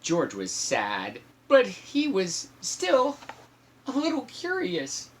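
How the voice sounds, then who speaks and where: A man with a husky voice reads a picture book aloud in an animated, playful way, with teasing asides as a father would to his child. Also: sits high, slow pace, slight background noise. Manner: acts out shouts and sound effects, teasing.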